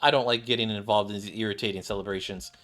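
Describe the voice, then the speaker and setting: A gruff, scornful video game character acted by a man, dropped lower than his normal pitch. The delivery is curt and annoyed, over background music. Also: steady tone, fast talker, fairly bright tone, natural voice. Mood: irritated. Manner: curt, disdainful. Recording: music playing behind the voice.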